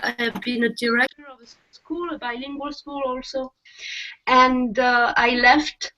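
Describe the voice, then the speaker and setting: Slow, halting woman on a call. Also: sits high, fairly even intonation, bright, forceful tone, rough recording. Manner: halting, searching for words. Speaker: meeting participant. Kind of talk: casual video call chat.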